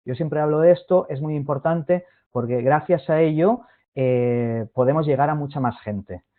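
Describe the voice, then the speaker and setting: A man's voice: a little high, normal speed. A man giving a hesitant online presentation, full of fillers and restarts. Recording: tinny phone-like line.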